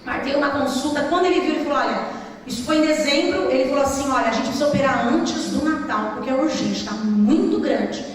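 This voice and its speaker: A woman's voice: sits high, fast talker. A woman pastor from the São preaching to a congregation, telling testimony in a conversational way with emphatic exhortations. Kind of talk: animated testimony sermon. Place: church hall during a service. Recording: echoey hall, distant mic, faint noise.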